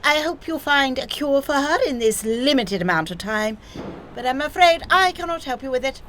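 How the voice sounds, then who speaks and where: A woman playing an eccentric, theatrical nurse in an audio play, breezy even about grave matters. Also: high-pitched, moderate pace, slight background noise.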